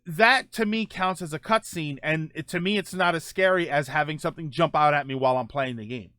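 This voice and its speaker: A man's voice: fairly high pitch, fairly quick, pushed and bright, no echo. A middle-aged man hosting a casual podcast, rambling through viewer comments with many fillers and self-corrections. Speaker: podcast host.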